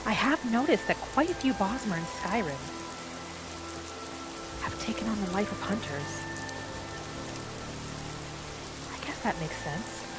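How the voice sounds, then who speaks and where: A woman playing a gentle, homesick wood elf wanderer who thinks aloud softly in a first-person video game roleplay. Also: mid-range voice, big pitch swings, normal speed, close to an everyday voice. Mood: calm, reflective. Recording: music and rain behind the voice. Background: game music and rain.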